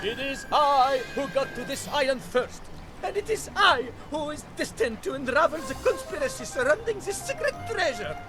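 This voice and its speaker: A grandiose young would-be hero in a video game. His voice is extremely high and leaps around with florid, pompous drama, over clear background music. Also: sing-song, moderate pace, rough recording. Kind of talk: video game character acting. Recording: music behind the voice.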